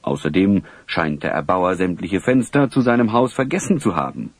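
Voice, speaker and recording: Deep, expressive male narrator. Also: normal speed, husky, no room sound. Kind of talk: scripted radio play narration.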